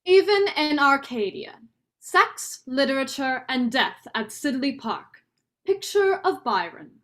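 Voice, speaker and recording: A young woman student actor playing a bright, outspoken, romantic young woman in a staged play reading. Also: high voice, sing-song, slow pace, exaggerated voice. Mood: eager, musing. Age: young adult.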